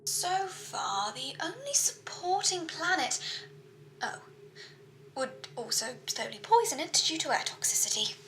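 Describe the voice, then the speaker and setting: A woman playing a courteous, dutiful shipboard AI in a science fiction audio drama, speaking in formal, measured reports. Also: extremely high pitch, big pitch swings, a bit bright, heavily acted, rough recording. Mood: matter-of-fact. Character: female ship's computer AI, precise, dutiful, courteous. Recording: faint background noise.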